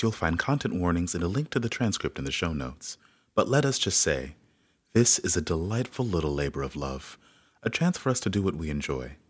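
A man introducing an audio drama production in a relaxed, scripted way. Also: sits low, slightly rough. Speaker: show announcer.

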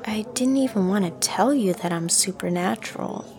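A woman playing a guarded, unsure young student in an audio drama, soft-spoken and hesitant, often trailing into breathiness. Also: mid-range voice, normal speed, a crowd in the background, natural voice. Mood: reluctant. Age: young adult. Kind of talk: acted audio drama dialogue.

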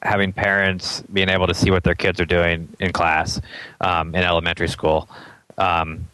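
A man's voice: low-pitched, little pitch movement, moderate pace, bright, forceful tone, clean tone, no echo, low-quality recording. A man from Atlanta, a startup founder as an interview guest, thinking aloud in casual chat with many ums and frequent chuckles.

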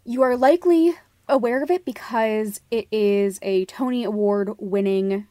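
A woman talks casually as the co-host of a podcast. Her pitch is average for a woman, and the recording is dry, free of room reflections. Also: measured pace, warm tone, no echo.

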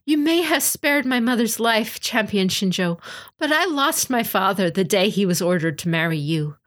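A woman's voice: medium pitch, animated, normal speed, a bit bright, voice pushed up, natural voice. A woman voicing a passionate young female samurai general in an audiobook, defiant and formal in her delivery. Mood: wounded defiance. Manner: impassioned, declamatory, formal. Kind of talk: dramatic audiobook reading.

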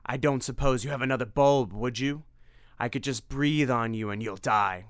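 A man playing a slow, gloating vampire lord. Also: medium pitch, dry recording, studio quality, natural voice. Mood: mocking. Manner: slow, mocking and menacing. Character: gloating male vampire lord in a hero's body.

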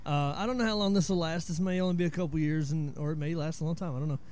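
A man in his forties with a Southern US accent talks in a relaxed, storytelling way. His voice sits a little high but sounds warm and dark. He explains where local words came from. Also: rapid-fire, a little hiss or hum. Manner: laid-back storyteller, explains word origins. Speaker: podcast host. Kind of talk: casual chat.

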